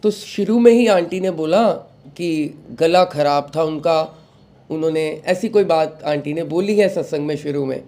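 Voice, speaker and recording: Young guy, devotional talk, somewhat high but mellow voice, throat still a bit sore. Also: a little slow. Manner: mixes in English phrases, calm advising tone. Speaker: satsang host. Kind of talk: devotional talk, addressing the followers.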